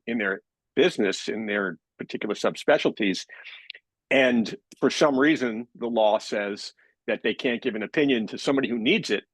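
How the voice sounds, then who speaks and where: Husky-voiced man explaining at length. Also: medium pitch, no room sound, poor audio. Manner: talkative, repeats words when starting phrases. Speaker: company director and guest expert. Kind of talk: webinar explanation.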